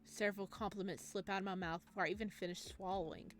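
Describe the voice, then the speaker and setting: A woman narrating a visual novel in a playful let's play, chatty and giggly, with expressive reactions. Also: mid-range voice, fast. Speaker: gaming video host reading a visual novel aloud. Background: game music.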